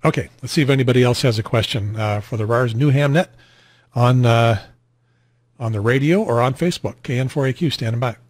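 An American man in his sixties hosting a radio net in casual chat, rambling and halting, on a close mic in a small home room. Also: mid-range voice. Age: 60s. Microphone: close boom studio mic, headset on.

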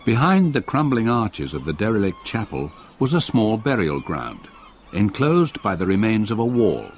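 An actor playing an older English solicitor, gravely narrating a haunting memory in a radio play. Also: male voice, lowish voice, sing-song, slight background noise. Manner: grave, measured storytelling. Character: haunted old English solicitor recalling past terror.

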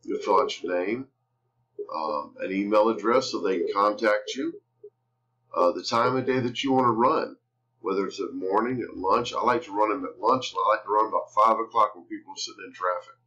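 Low-voiced man pitching online, slightly dull audio. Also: brisk, pushed and bright, slightly rough. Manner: repeats phrases, tags sentences with "right?". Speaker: online marketer promoting a product. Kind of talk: casual sales pitch over a screen-share tutorial. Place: indoors at a computer.

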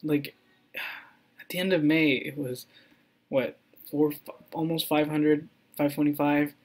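A young man giving a casual, rambling screen-recorded update, excitable and full of ums and restarts. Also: a little high, measured pace. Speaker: crypto mining vlogger.

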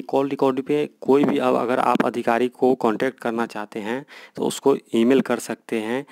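A man's voice: mid-range voice, a little mellow, no room sound. Indian male channel host, informal explainer, insistent and repetitive, close dry sound.

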